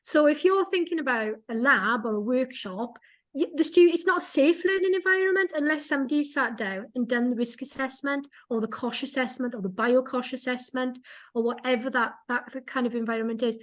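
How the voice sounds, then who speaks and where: High-voiced woman explaining eagerly. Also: fairly quick. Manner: enthusiastic, many restarts and repeated words. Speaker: guest speaker. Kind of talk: webinar talk.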